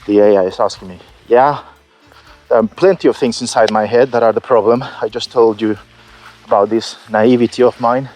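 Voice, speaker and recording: A man talking through his creative doubts in an unscripted vlog, halting and self-critical, with many fillers and restarts. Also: mid-range voice, fairly even intonation, a little mellow, soft background music. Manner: candid and self-critical, with occasional swearing. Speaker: solo musician vlogging his album process.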